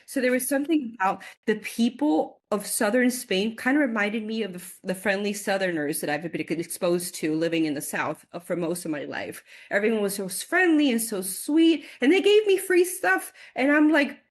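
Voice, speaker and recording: A woman in her forties, a guest in a casual interview, chatting with animated energy, often laughing and repeating words as she gets excited. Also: medium pitch, moderate pace, hardly any pauses. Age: 40s.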